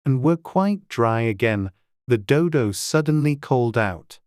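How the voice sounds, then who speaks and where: A man narrating a classic children's story in a gentle, even storytelling manner. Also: mid-range voice, a little slow, no echo, studio quality.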